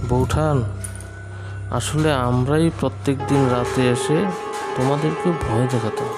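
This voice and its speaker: Man playing a creaky-voiced, cowardly village schemer. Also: mid-range voice, fairly even intonation, measured pace, loud music behind the voice, lightly acted. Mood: pleading. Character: greedy village man, scheming yet cowardly brother-in-law. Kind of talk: Bengali cartoon drama acting.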